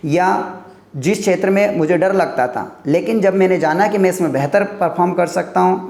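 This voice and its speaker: A man's voice: high-pitched, creaky, a little mellow, echoey room. A man giving an earnest motivational talk on career choices, stacking points in lists, as a teacher addressing his viewers. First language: Hindi.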